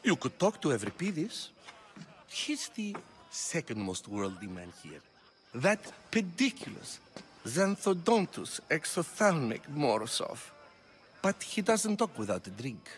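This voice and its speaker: An actor playing a vain, disdainful intellectual in a video game, speaking in a pompous, arch manner. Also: male voice, a little high, very animated, a little slow, faint music behind. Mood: haughty. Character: vain, witty, disdainful male Athenian intellectual. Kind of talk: video game cutscene acting.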